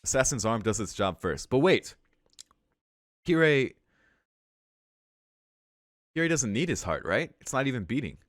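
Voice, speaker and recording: A man reading a visual novel's story aloud for a let's play, breaking into casual, excitable reactions with some swearing. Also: mid-range voice, unhurried, pauses often, dry recording. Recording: music behind the voice.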